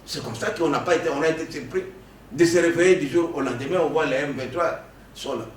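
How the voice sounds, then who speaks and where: A man speaks with insistent, animated energy, often repeating and restarting words as he presses his point. His voice sits a little high and sounds distant from the microphone. The room echoes, and there is faint noise. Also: fast. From Democratic Republic of the Congo. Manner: emphatic, animated, with frequent restarts and stammers. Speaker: political guest. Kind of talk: political interview.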